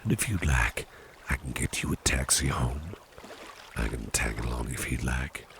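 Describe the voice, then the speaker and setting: A man voicing a suave, attentive gentleman boss in a gravelly, half-whispered ASMR roleplay, speaking in a reassuring, coaxing way. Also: extremely low pitch, normal speed, slight background noise, exaggerated voice. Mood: courteous, coaxing.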